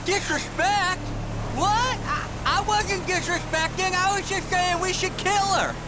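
An actor playing a naive, slow-witted young sidekick in a video game, hesitant and stammering. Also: male voice, very high-pitched, steady tone, noisy background. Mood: confused. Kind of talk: video game cutscene acting.

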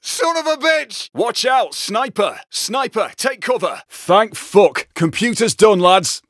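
A man's voice: sits high, lively intonation, slow pace, a bit bright, dry recording, studio quality, full character voice. An actor playing a brash, foul-mouthed English career criminal, barking blunt video game combat lines to his heist crew. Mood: urgent shouting, then gleeful.

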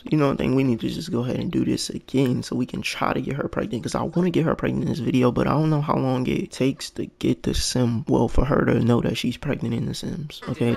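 Gravelly man thinking aloud over a game. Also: mid-range voice, dry recording. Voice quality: raspy. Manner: thinks aloud, excited and unsure. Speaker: gaming channel host. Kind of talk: casual game commentary.

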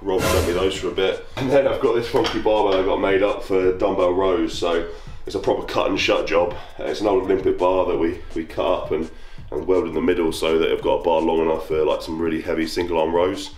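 A man's voice: low voice, fairly even intonation, a little fast, hardly any pauses, darkish tone. A man, chatting casually as he walks through his home gym and points out the equipment. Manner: chatty, matter-of-fact, walking around pointing at kit. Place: small wooden home gym barn. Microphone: handheld camera close up. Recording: echoey wooden room with faint music.

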